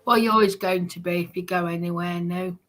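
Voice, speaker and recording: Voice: mid-range voice, fairly even intonation, brisk, a bit bright, faint music behind. A person chatting casually, giving short, hesitant, supportive replies.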